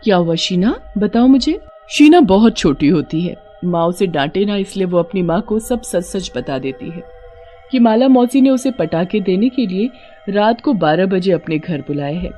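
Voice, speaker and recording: A woman's voice: fairly low pitch, lively intonation, moderate pace, warm tone, faint music behind. A woman narrator reading a scripted horror story for a cartoon.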